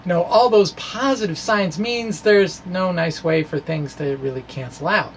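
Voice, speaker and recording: American guy doing a casual physics lecture, pretty high voice, lots of fry, kinda halting with little asides. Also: a little hiss or hum. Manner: halting, informal explaining with trailing asides. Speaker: physics video blogger. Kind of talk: informal physics lecture to camera. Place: small indoor room with bookshelves. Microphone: microphone on a stand close in front.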